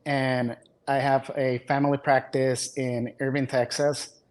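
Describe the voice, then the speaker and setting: A man from Puerto Rico answers questions as a guest doctor, with a mid-range voice. He sounds relaxed and slips in dry jokes. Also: medium pitch, unhurried. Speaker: physician, guest. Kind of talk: interview answers.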